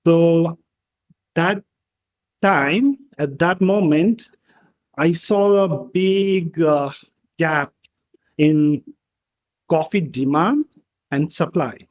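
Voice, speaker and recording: A man from Nepal, talking in a halting way about how he got into coffee. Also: fairly high pitch. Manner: slow, halting, with fillers. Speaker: guest.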